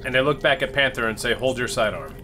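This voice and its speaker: A man's voice: mid-range voice, fast talker, bright, forceful tone, faint music behind. A man playing a tabletop role-playing game as the captain, often stammering and repeating words before he gets them out. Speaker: player.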